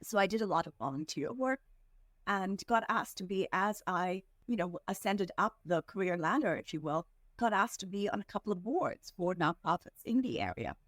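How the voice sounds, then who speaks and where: A woman from India speaks as a podcast guest in an earnest, measured way, slowing on the words she wants to land. Her voice sits at a middle pitch. The recording is dry and close, with no room sound. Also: lively intonation, a bit bright, dry recording. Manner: measured, earnest, slows down to stress points. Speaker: podcast guest, fundraising executive. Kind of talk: reflective interview answers.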